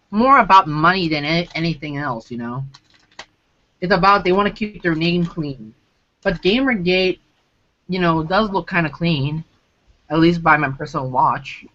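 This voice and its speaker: High-voiced man on a call. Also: pushed and bright. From Hong Kong. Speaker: stream host. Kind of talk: casual online voice chat. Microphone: online voice call. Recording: lo-fi call audio with faint noise.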